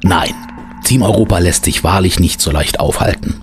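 A man narrating an audio adventure drama as a solemn, measured storyteller with florid delivery. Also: deep voice, faint music behind, lightly acted.

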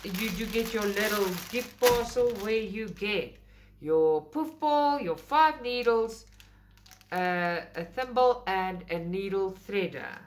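A woman's voice: mid-range voice, animated, slow pace, a little hiss or hum. Woman, South African accent, native Afrikaans, chatty, self-correcting, indoors at a table. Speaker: craft channel host. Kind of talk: casual unboxing talk to camera. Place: indoors at a table, filming overhead.

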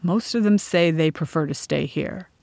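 A woman voicing a calm, victorious rebel king in a storybook, speaking in a measured, stern and matter-of-fact way. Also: low voice, vocal fry, dry recording, clean studio sound. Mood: calm, firm.

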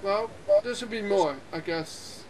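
A young man hosting a multiplayer game session, excitable and quick to laugh, talking over his friends on a voice call. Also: sits high, faint music behind, poor audio. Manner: excitable, laughs often, talks over others. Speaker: gameplay channel host. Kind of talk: casual multiplayer gaming chat.

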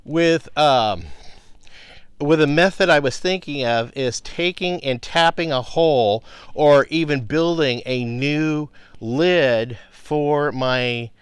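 A man in his fifties explaining things casually to the camera outdoors, in a halting, thinking-aloud way. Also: a little high, smooth, no echo. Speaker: homestead vlogger. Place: outdoors in a grassy rural yard.

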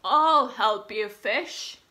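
A hearty, helpful storybook king, as a woman reads him, booming out his words loud and slow in a bright, high voice. He sounds eager and bold. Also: full character voice.

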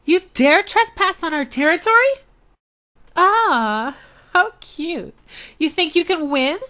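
Woman playing a cocky, very high-pitched girl fighter. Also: animated, takes it slowly, pushed and bright, full character voice. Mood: cocky, taunting. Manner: taunting, confident. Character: cocky, feisty young female fighter. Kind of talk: video game character acting.

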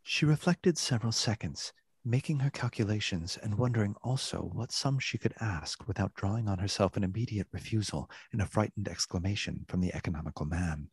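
A narrator with a husky voice, reading a literary tale as scripted narration in a radio play. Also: low-pitched, lively intonation, a little fast, dry recording, heavily acted. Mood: measured.

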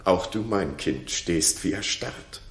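An older king full of grief and remorse. His low delivery is slow and fervent, in the grave stage manner of an old radio play. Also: animated, a bit bright, exaggerated voice. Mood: remorseful awe. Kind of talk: 1960s German radio drama acting. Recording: roomy 1960s radio recording.

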